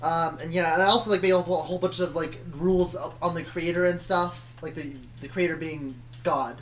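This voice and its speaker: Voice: medium pitch, steady tone, brisk, fairly bright tone, a little hiss or hum. A person telling stories about their own fictional universe in a casual, rambling, hesitant way, often correcting themselves. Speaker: storyteller.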